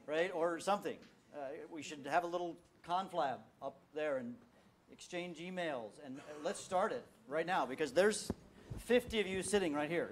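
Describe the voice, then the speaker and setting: A man on a conference panel answering audience questions, energetic and rallying, often restarting his sentences. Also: a little high. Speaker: panel speaker at education summit. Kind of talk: live Q&A answers on stage.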